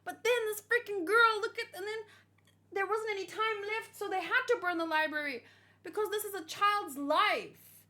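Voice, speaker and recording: A woman giving excited, rambling reaction commentary, emotional and full of gushing exclamations. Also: very high voice, normal speed. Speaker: host of a manga read-along reaction.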